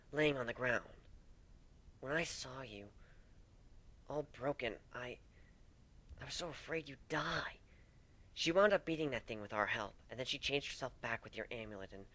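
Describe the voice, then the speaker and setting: A man voicing a tough but tender female griffin in a story, soft-spoken, teasing and affectionate. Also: mid-range voice, natural voice.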